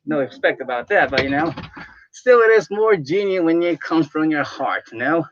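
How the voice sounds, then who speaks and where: Male panelist, sarcastic banter, very expressive pitch, slightly high voice, muffled dry studio. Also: normal speed, dry recording. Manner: sarcastic, teasing. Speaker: radio show panelist. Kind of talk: casual banter. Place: radio studio.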